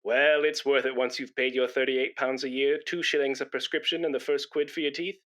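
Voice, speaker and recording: An actor in a comedy radio play, playing a pompous, self-righteous, boastful busybody who sounds self-important and wheedling. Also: male voice, mid-range voice, level tone, a little fast, fairly bright tone, close to an everyday voice. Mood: indignant, needling.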